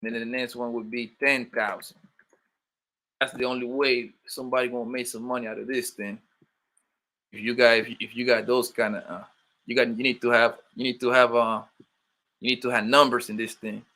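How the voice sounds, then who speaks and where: New york dude on a livestream, bright voice, joking around w the chat. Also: male voice, mid-range voice, flat delivery, normal speed. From born in Colombia, raised in Brooklyn, New York. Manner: loose, joking, talks to chat viewers by name. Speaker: livestream host.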